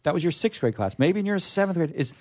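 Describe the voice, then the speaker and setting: A male radio host chats casually and with real enthusiasm. His pitch moves up and down a great deal as he rambles along, circling back to repeat his own phrases. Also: very animated, fast talker, darkish tone. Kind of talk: casual, chatty live radio hosting.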